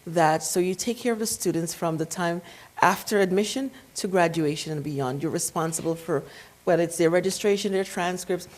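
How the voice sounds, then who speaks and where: Woman, Guyanese accent, state senator guest, emphatic, lively delivery. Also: lowish voice, brisk. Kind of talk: interview conversation.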